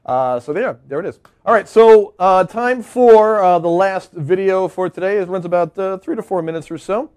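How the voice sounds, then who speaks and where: A man's voice: high voice, moderate pace, hardly any pauses, a little mellow, clean tone. A man casually hosting a web show in a chatty, rambling way as a filmmaker. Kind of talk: casual presenting to viewers.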